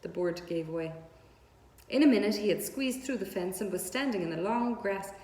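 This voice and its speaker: A woman's voice: medium pitch, normal speed, mellow. Woman storyteller in an echoing room. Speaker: read-aloud storyteller. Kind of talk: scripted children's book narration. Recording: roomy hall-like echo, audible background noise.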